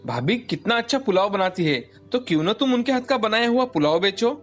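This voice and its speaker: A man's voice: sits high, expressive, fairly quick, faint music behind. A man voicing a friendly adult male cousin in a cartoon, cheerfully giving advice. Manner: friendly, advising.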